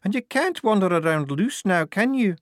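Cheeky storybook working girl, played by a male reader, raised pitch, chatty, streetwise, very animated. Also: normal speed, no echo, studio quality. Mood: matter-of-fact. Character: streetwise, matter-of-fact young working woman, cheeky and chatty.

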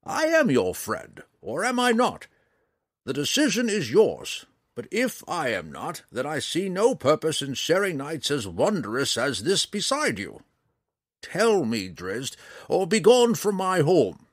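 A man's voice: medium pitch, wildly swooping pitch, a little slow, a bit bright, no echo, studio quality, exaggerated voice. A man voicing an earnest, emphatic old male ranger in a fantasy audiobook. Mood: perturbed, insistent.

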